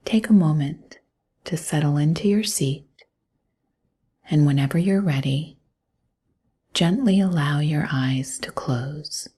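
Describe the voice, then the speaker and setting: Low, hushed woman guiding meditation. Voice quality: soft, breathy, often near a whisper. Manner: gentle, calm, unhurried instruction with long pauses. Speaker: meditation guide. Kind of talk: guided mindfulness meditation, scripted narration.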